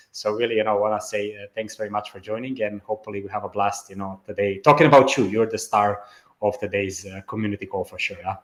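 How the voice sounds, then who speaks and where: A man hosting an online community call, speaking informally in an enthusiastic, effusive way. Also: mid-range voice.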